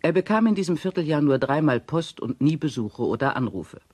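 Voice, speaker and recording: A man reading a witness statement in a measured, formal, matter-of-fact way as radio play narration. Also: on the high side, very animated, darkish tone, no echo, studio quality.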